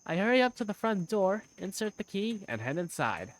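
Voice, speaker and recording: A woman's voice: medium pitch, big pitch swings, moderate pace. A woman voicing an easygoing young male bar waiter who narrates his day in a visual novel fan dub. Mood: easygoing, wry.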